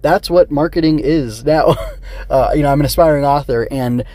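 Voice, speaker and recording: A man's voice: fairly high pitch, normal speed, dark tone, dry recording. A middle-aged man hosting a solo podcast, talking casually and rambling with digressions. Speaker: podcast host. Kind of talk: casual solo review chat.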